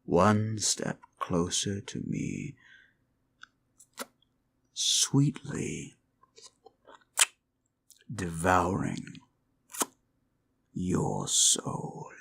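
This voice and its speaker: A man playing a sinister, mocking demon in a roleplay ASMR style, slow and theatrical, with a gravelly voice and condescending, drawn-out words. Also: sits low, big pitch swings, a bit bright, full character voice. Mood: sly, taunting. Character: sinister mocking male demon, condescending soul-hungry tempter. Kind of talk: roleplay comfort ASMR acting.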